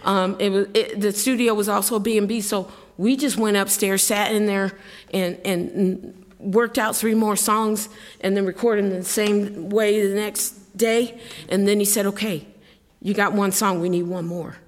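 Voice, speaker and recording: A woman's voice: mid-range voice, steady tone, normal speed, in a large hall. A middle-aged woman, a singer-songwriter, telling a rambling, chatty story between songs at a live show, laughing as she goes. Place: live concert venue with audience.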